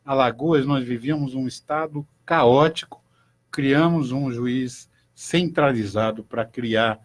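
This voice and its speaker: An older man, a judge speaking in a formal, measured way as a guest on a radio talk show. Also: mid-range voice.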